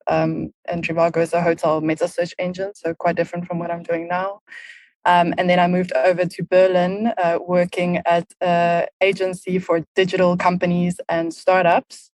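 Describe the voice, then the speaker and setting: A woman's voice: lowish voice, monotone, moderate pace, a little mellow, no room sound. A woman in her thirties with a South African accent, a podcast guest who explains things at length with lots of "um" fillers. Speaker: podcast guest, marketing lead. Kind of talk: casual interview talk.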